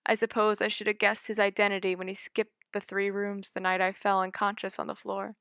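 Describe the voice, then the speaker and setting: A woman reading a scary short story aloud in a measured, dramatic way. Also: medium pitch, level tone, a bit bright, smooth, dry recording, studio quality. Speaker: audiobook reader. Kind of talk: scripted story narration.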